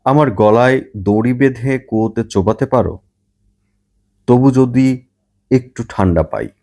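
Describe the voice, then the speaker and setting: A man's voice: mid-range voice, a little slow, darkish tone, lightly acted. Man voicing a weary, pleading young married woman.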